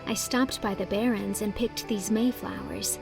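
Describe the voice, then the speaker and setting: A woman's voice: mid-range voice, a little slow, vocal fry, music in the background. A woman voicing a dreamy, romantic young woman who gushes in a rapturous, lyrical way.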